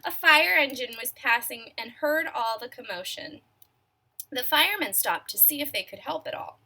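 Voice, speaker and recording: A high, bright female voice reads a children's story, swooping all over her range. She stops to quiz her young listeners and sometimes drops to a near whisper for suspense. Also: normal speed. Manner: expressive, asks young listeners questions. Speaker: storyteller reading a picture book. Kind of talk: children's read-aloud.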